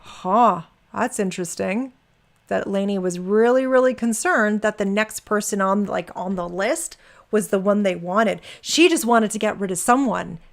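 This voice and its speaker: A woman's voice: mid-range voice, unhurried, gravelly. A woman reading a transcript aloud, dropping in informal, wry asides as commentary. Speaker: reader and commentator.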